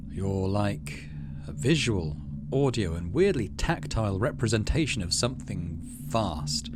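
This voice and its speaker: Low-voiced man over noticeable background noise. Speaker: gaming video host. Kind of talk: let's play commentary and reading game narration aloud.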